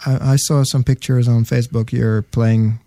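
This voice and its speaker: A man's voice: medium pitch, flat delivery, moderate pace, mellow, dry recording. A man hosting a casual music interview, hesitant, with many ums and restarts. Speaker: host.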